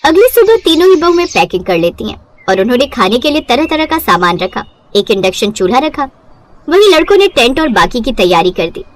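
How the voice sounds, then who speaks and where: A female narrator tells a scripted bedtime tale. Her voice sits high, and her intonation moves in lively, wide swings. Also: fairly quick.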